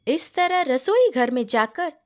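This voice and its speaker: A woman's voice: sits high, very animated, takes it slowly. A woman storyteller narrating a scripted moral tale.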